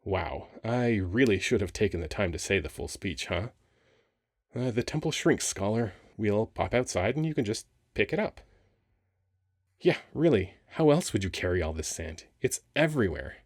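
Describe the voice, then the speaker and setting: A man playing a handsome, playful male sphinx guarding a temple in an ASMR roleplay, talking in a teasing, expressive, conversational way. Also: on the low side, moderate pace, no echo, clean studio sound. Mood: excited, playful. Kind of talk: ASMR roleplay audio acting.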